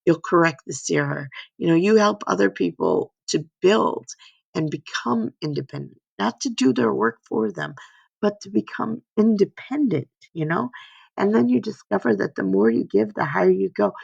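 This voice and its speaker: Hoarse gravelly woman, earnest and rambling. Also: medium pitch, lively intonation, moderate pace, darkish tone, no room sound, dull audio. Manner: rambling, earnest, repeats "right?" and "you know?". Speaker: speaker giving a slide talk. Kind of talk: faith-based motivational talk.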